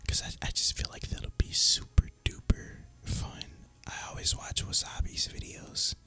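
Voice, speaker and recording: Male ASMR gaming creator: breathy whisper throughout, crisp sibilant edge, relaxed and rambling delivery. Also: unhurried. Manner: relaxed, rambling, casual and reflective. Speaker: video creator and gamer.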